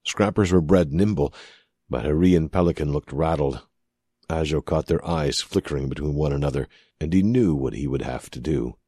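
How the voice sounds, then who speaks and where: A man narrating scripted fiction as an audiobook reader, with dramatic, measured and deliberate phrasing. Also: a bass voice, dark tone, husky, dry recording, clean studio sound.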